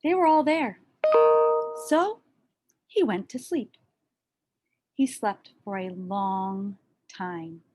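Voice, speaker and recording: A woman reading a children's story aloud expressively, with deliberate pauses and dramatic emphasis. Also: medium pitch, big pitch swings, dark tone, faint music behind. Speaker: storybook reader.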